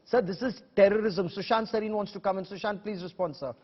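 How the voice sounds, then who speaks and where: A man with an Indian accent moderating a TV news debate, pressing guests with emphatic, confrontational rhetorical questions in a husky voice. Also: high voice, fast talker. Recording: dull lo-fi broadcast audio.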